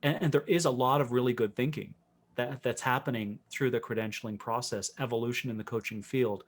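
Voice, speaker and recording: A man with a medium-pitched voice talks as a podcast guest. He is reflective and conversational, often restarting a thought and rewording it as he explains his work as a coach. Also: steady tone, fairly quick. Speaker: interview guest, professional coach.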